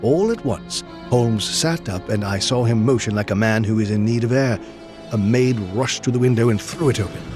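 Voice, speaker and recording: Earnest gentleman sidekick and narrator, played by a man: mid-pitched male, measured storytelling, clear music underneath. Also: lightly acted. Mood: measured narration. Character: loyal gentleman companion narrating, earnest and curious. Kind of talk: scripted audio drama narration and dialogue.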